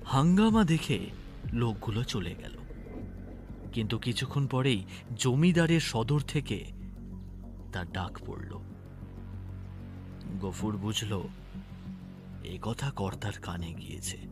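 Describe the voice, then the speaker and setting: A man narrates a scripted audio story. His voice sits in the middle range and his storytelling is expressive, with soft music underneath. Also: mid-range voice, takes it slowly, pauses often.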